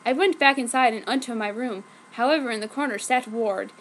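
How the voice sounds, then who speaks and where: A woman with a high voice narrates amateur fan fiction. She reads it theatrically, as mock drama. The home recording has clear noise on it. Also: a little fast.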